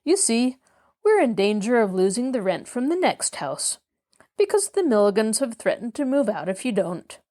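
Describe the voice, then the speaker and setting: A woman's voice: a little high, very animated, moderate pace, warm tone. A woman voicing a formal, matter-of-fact male landlord in a storybook, reasonable but unbending. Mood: firm, businesslike. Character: brisk, businesslike grown man landlord, reasonable but unbending.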